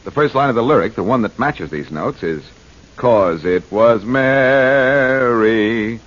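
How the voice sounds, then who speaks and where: An actor playing a confident, wisecracking amateur detective in a 1940s radio drama, speaking in a glib, theatrical way. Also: male voice, medium pitch, slow pace, a bit bright. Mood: dramatic. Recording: muffled, noisy 1940s radio recording.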